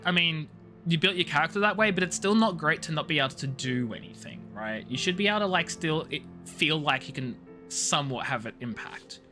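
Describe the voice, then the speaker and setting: This is a man with a high, bright voice that swings widely as he chats on a game stream. He talks casually and expressively, stretching out words for emphasis. Music plays behind him. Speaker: live streamer. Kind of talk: casual game stream chat, reading story dialogue and viewer messages aloud.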